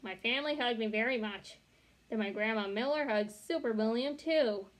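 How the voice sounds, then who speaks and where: A woman's voice: on the high side, normal speed. A young woman reading a children's chapter book aloud expressively, with occasional stumbles and self-corrections. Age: young adult. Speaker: read-along storyteller. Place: small bedroom, indoors.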